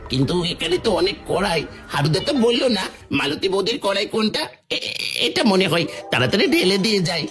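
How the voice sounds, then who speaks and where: A man's voice: high voice, sing-song, fast talker, music in the background. A man playing a furtive, muddled village henchman in a cartoon fairy tale. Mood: furtive, hurried.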